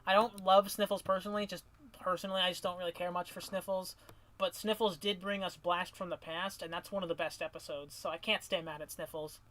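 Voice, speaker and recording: A man with a high, bright voice chats on a stream, ranking cartoon characters. He wanders into tangents and restarts his sentences often. His voice sounds a little worn from a long session. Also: fairly quick, rough recording. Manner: rambling, restarts, animated tangents. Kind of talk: casual chat on a stream.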